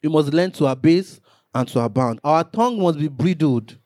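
A man's voice: fairly high pitch, lively intonation, normal speed, darkish tone, dry recording, clean studio sound. A man, a pastor preaching a sermon, rising into emphatic bursts and acting out little quotes.

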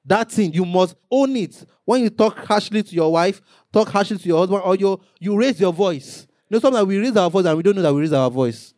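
Man preaching, fairly high voice. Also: lively intonation, fairly quick, a little mellow, no room sound, studio quality. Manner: preaching with rising emphatic bursts and acted quotes. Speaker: pastor. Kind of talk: sermon.

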